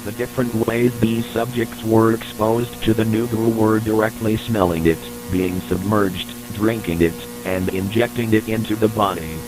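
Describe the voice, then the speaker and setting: A man's voice: fairly low pitch, fairly even intonation, normal speed, few pauses, music in the background. A man reading a scripted, formal, matter-of-fact report as the narrator of an analog horror tape. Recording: noisy recording with electrical hum.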